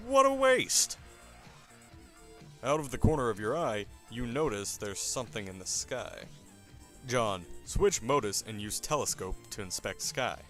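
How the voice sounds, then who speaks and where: Theatrical male narrator, slightly low voice. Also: fairly bright tone. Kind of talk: dramatic scripted narration.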